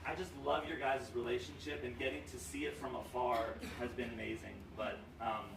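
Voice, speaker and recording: A man's voice: mid-range voice, fairly even intonation, fairly quick, vocal fry. A young man from Romania giving a joking, rambling best-man toast full of fillers, at a wedding reception. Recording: echoey room, far from mic, noisy.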